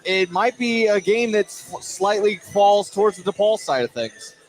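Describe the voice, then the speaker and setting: A man's voice: sits high, normal speed, soft background music. A man giving informal, conversational color commentary on a live basketball game, often repeating his phrases.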